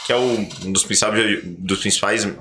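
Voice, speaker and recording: A Brazilian man hosts a podcast in a conversational, explanatory style. His voice sits fairly low but has a bright, forceful edge. There is some background room noise. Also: fairly even intonation, brisk. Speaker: podcast host.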